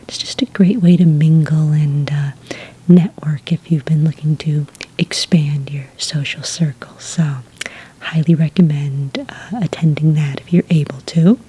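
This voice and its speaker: A woman doing a soft-spoken, whispered ASMR roleplay as a friendly witch at a hotel front desk, gentle and polite in a breathy voice. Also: sits low, unhurried, poor audio. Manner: gentle, polite, customer-service phrasing.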